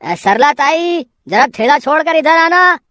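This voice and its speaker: Woman as a high-voiced, sly male shop worker. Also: animated, unhurried, fairly bright tone, dry recording, studio quality. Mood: anxious, sly. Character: sly young male shop worker, eager to blame others. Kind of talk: cartoon moral story voice acting.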